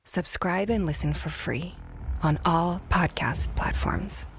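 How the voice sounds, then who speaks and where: Female announcer for eerie promo. Low register, unhurried, hushed, scripted, heavy music bed. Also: background noise. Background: prominent music.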